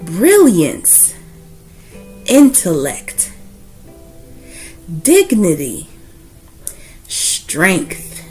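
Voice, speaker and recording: A woman hosts a children's read-aloud at a very slow, deliberate pace. Her delivery swings widely in pitch, with emphatic stresses and dramatic pauses. Music plays behind her voice. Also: huge pitch swings, darkish tone, breathy edge. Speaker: host of children's book read-aloud series. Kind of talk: expressive read-aloud of a children's picture book.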